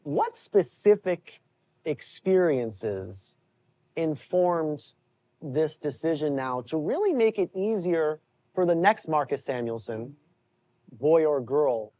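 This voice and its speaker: Low, mellow-voiced woman interviewer. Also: sing-song, slow. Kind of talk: news feature interview.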